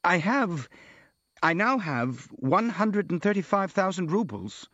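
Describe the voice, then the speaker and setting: A man's voice: a little high, animated, moderate pace, fairly bright tone, no echo, lo-fi sound, pitched above the performer's own voice. A man voicing an earnest, meek, lovelorn prince in an audiobook, with a hesitant, sincere manner. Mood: embarrassed.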